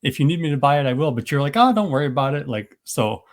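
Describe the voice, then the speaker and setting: A man's voice: medium pitch, lively intonation, fast talker. Easygoing male podcast host. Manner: friendly, rambling with restarts. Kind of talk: casual interview chat.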